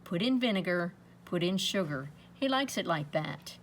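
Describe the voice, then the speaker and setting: A woman's voice: fairly low pitch, lively intonation, normal speed. Woman reading as magical sea lady, noisy recording. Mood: calmly instructing. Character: beautiful, magical sea lady giving instructions.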